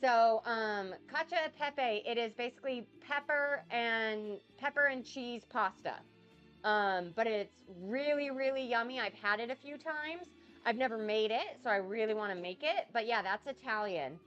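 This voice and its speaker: Woman, cooking stream host, sniffly cold, bright tone, dull lo-fi audio, faint music behind. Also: a little high, moderate pace. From United States. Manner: chatty, rambling, reads out and answers chat comments. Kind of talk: casual cooking livestream chat with viewers.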